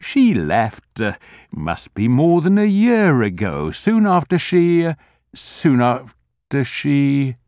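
A man's voice: mid-range voice, wildly swooping pitch, takes it slowly, darkish tone, no room sound, clean studio sound, voice pushed up. A man voicing an anxious, kindly old male corner grocer who fusses with old-fashioned politeness. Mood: fretful.

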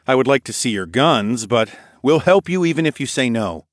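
A man voicing an earnest, steady male traveller who is determined to help, in audiobook dialogue. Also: medium pitch, moderate pace, dry recording, clean studio sound, voice pushed up, close to an everyday voice. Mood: reassuring, firm.

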